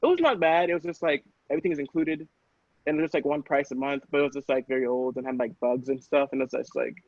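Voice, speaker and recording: A man's voice: medium pitch, fast, a little mellow, no room sound. A man in a casual podcast chat, rambling along and peppering his talk with "like". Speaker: podcast cast member. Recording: slightly muffled, lo-fi audio.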